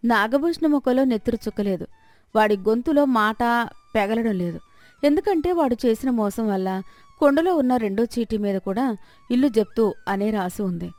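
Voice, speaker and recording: A woman's voice: fairly high pitch, animated, moderate pace, a little mellow, no room sound. A woman narrating a scripted moral story as a storyteller.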